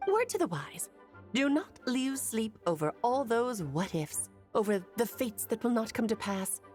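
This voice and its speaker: A woman's voice: a little high, sing-song, unhurried, faint music behind. Playful knowing witch. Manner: lilting, theatrical, gently teasing. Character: ageless cheerful witch, knowing, playful, wise. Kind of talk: video game voice acting.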